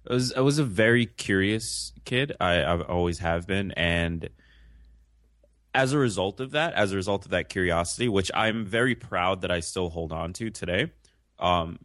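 A man's voice: low voice, normal speed, fairly bright tone, no echo, studio quality. A young man, born in Jamaica, answering interview questions in an earnest, reflective way with frequent restarts. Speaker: interview guest. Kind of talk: conversational interview.

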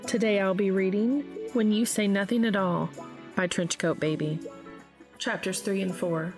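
A woman's voice: mid-range voice, fairly even intonation, moderate pace, over music. A woman hosting and reading fan fiction aloud as scripted narration. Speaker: podcast host and reader.

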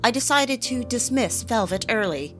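An actress playing a fragile, eager young mid-century housewife in an audio drama, confiding in a theatrical, genteel way. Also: female voice, on the high side, animated, moderate pace, a bit bright, faint music behind. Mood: confiding, hopeful. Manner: theatrical, confiding, genteel, old-fashioned phrasing. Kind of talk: dramatic scripted audio drama narration and dialogue.

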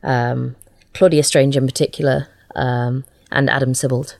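A woman with a low voice speaks casually as a guest in an interview. She feels her way through sentences haltingly, and the sound is close and dry. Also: little pitch movement, gravelly, a little mellow, no room sound. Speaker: interview guest, audio drama writer.

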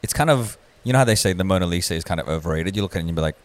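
A man with a fairly low voice co-hosting a casual podcast. He reasons things out aloud at length, stumbling and repeating words as he builds his explanations. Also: lively intonation, rapid-fire. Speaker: podcast co-host. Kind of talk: casual chat.

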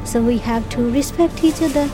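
A woman with a Pakistani accent and Punjabi mother tongue, chatting casually in halting, simple non-native English phrasing. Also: high-pitched, music in the background. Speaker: corner shop keeper. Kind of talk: casual conversational interview. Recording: noisy ambience with vehicle sounds.

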